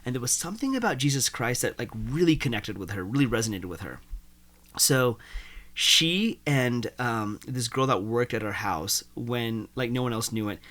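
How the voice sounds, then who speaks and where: A young man from India, a guest telling his life story in a casual interview, animated and full of "like". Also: medium pitch, normal speed. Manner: animated, uses "like" often.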